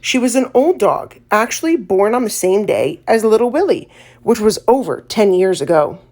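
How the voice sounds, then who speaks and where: A female audiobook narrator with a medium-pitched voice. She reads scripted children's fiction aloud in an expressive storytelling style. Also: normal speed.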